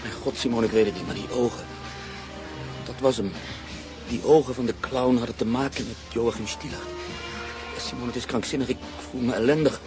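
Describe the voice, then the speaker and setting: An actor in a radio drama playing an anxious, brooding newspaper columnist who speaks earnestly and confidingly. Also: male voice, medium pitch, steady tone, brisk, music in the background, natural voice. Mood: agitated, distressed. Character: troubled, anxious newspaper columnist haunted by a mystery.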